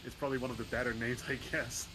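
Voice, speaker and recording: A man co-commentating on a game stream, explaining trivia in casual chat with halting questions. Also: medium pitch, level tone, noisy background. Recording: music playing behind the voice. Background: music.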